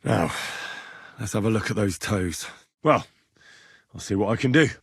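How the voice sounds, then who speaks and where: A man's voice: fairly low pitch, animated, normal speed, vocal fry, husky, no echo, clean studio sound, heavily acted. An actor playing an earnest, courteous young medieval messenger and man-at-arms, speaking plainly and politely in video game dialogue. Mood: concerned, practical. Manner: polite, plain-spoken, deferential to betters.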